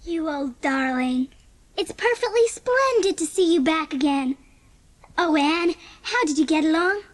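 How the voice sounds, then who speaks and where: A woman voicing an excited, devoted young girl in an audiobook story, with gushing, drawn-out exclamations. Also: high voice, pitched above the performer's own voice. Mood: fond, reassuring.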